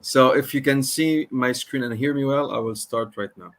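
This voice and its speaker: Mid-pitched man from Lebanon presenting. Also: moderate pace. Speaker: startup co-founder and engineer presenting. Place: online video call.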